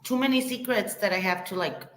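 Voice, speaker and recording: A woman's voice: mid-range voice, fairly quick. A woman chatting casually on a livestream panel, with a sarcastic edge as she recounts things. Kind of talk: casual chat. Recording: echoey room.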